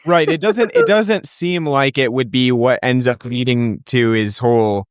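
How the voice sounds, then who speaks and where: A man's voice: mid-range voice, unhurried, clean tone, dry recording, clean studio sound. Laid-back podcast guy explaining a film. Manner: relaxed, explanatory, laughs often. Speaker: podcast co-host. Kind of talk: casual film discussion. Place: podcast studio.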